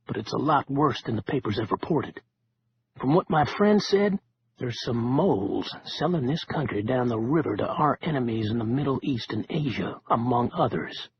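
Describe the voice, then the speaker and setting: A man voicing a gruff, loyal male friend who talks bluntly and with slang. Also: mid-range voice, highs cut off, slight background noise, lightly acted.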